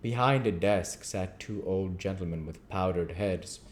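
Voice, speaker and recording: Deep-voiced man reading a classic story. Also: a little slow. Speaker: audiobook reader. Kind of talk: scripted storybook narration.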